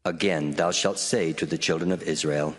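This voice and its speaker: An actor playing God as a solemn, authoritative divine voice in a dramatized scripture reading. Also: male voice, a bass voice, fairly even intonation, moderate pace. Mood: solemn, majestic. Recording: long hall reverb, faint music.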